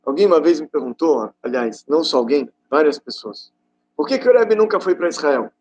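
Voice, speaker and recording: Man, middle-aged, raspy, a little high-pitched, conversational religious class, halting anecdotal delivery. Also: lively intonation, darkish tone. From Rio de Janeiro, Brazil. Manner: conversational, halting storytelling with rhetorical questions. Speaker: rabbi giving a class. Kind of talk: informal religious talk with personal anecdotes.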